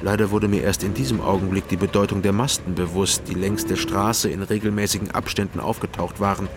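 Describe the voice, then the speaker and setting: An actor playing a wry, guarded male private detective who tells his own story in a crime radio drama, measured and dry. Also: sits low, steady tone, very noisy, close to an everyday voice. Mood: measured narrating. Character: Frank Doberschütz, East Berlin private detective, wry, guarded man. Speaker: narrator and lead detective. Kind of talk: first-person crime radio drama narration.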